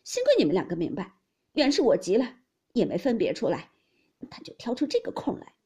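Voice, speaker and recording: A defiant young maid scolds her family with sharp, tearful force, her pitch leaping up and down, as a woman puts her on. The tone stays husky and mellow underneath. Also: wildly swooping pitch, brisk, heavily acted. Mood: indignant. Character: angry young maidservant, fiercely defiant.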